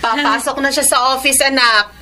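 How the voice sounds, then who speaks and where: A woman's voice: sits high, fairly even intonation, moderate pace, music in the background, natural voice. An actress playing a caring, chatty middle-aged mother in a Filipino radio drama. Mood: proud.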